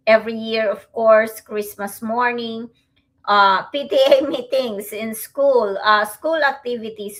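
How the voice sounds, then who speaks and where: A middle-aged woman with a Filipino accent hosting a livestream, talking to viewers in a reflective, halting way. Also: mid-range voice, a bit bright. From Philippines. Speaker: host, online business owner.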